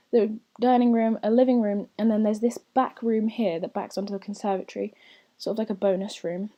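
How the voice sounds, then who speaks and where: Woman for a casual gaming voiceover, a bit croaky, warm, rambling. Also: fairly high pitch. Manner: chatty and rambling, with soft whispery asides. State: slightly croaky, recovering from lost voice. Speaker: gaming channel host. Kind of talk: casual voiceover commentary over gameplay.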